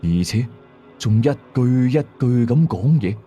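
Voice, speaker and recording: Hk cantonese guy telling a ghost story, suspenseful n colloquial, voice swings up and down, soft music under it. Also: medium pitch, expressive, slow pace, husky. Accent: Hong Kong Cantonese. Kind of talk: dramatic first-person horror storytelling in colloquial speech. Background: music behind the voice.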